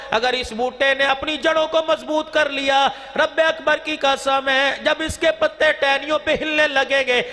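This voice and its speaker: A man's voice: very high-pitched, bright, forceful tone. A man preaching an impassioned Islamic sermon, declaiming and drawing phrases out into a chant. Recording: long hall reverb, music-like sound behind.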